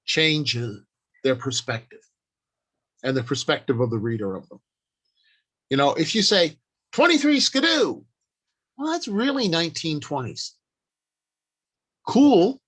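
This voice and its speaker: An older man presenting an informal instructional talk in a halting, deliberate, explanatory way. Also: on the high side, a bit bright. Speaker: workshop presenter.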